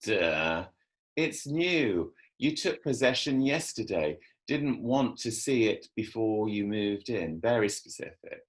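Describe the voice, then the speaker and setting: A laid-back man played by an actor in a drama table read. He speaks slowly, with a teasing, playful, hinting manner. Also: on the low side, expressive. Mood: casual. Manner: teasing, casual. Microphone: video call.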